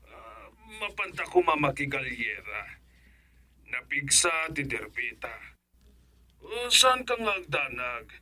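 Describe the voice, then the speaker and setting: Radio comedy role: sly, boastful Ilocano Filipino husband, slow, drawling delivery, very animated pitch. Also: male voice, dry recording, heavily acted. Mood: sly, cajoling. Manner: boastful, cajoling, exclamatory. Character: money-obsessed scheming village husband, boastful gambler, sly tempter.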